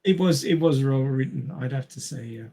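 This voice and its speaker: A man's voice: mid-range voice, normal speed, gravelly, dark tone, breathy edge. A man chatting casually about film as a podcast panelist, with a wry, jokey way of talking.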